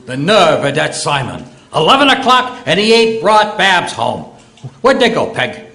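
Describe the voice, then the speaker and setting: Man acting grumbling overprotective American dad, highish animated voice, blustery, radio comedy, roomy stage. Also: a little slow, exaggerated voice. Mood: indignant. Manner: blustery, ungrammatical speech. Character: grumbling, stubborn, overprotective working-class American father.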